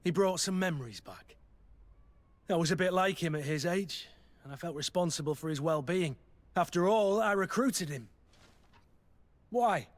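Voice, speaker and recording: An actor playing a gruff, loyal mercenary master of arms in a video game, brooding, plain-spoken and sardonic. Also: male voice, a little high, normal speed, long pauses, close to an everyday voice. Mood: guarded, sincere. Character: gruff, battle-worn male mercenary master of arms, guilt-ridden, loyal. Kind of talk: video game dialogue acting.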